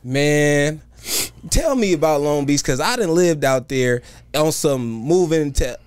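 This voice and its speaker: A man in his twenties hosts a casual comedy podcast, joking and teasing in slangy talk. His voice sits high and swings widely in pitch, recorded dry and close on a boom mic in a small studio set. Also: animated, takes it slowly, clear, resonant tone, dry recording. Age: 20s. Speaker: podcast host. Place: studio set with red curtains. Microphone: boom mic on arm.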